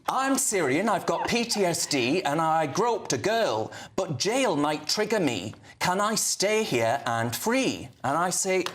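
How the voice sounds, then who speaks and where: A man's voice: on the high side, slight background noise. Mocking male TV host. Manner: mocking, theatrical, laughs at own jokes. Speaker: TV presenter doing a satirical segment. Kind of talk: sarcastic comic monologue with mock letters.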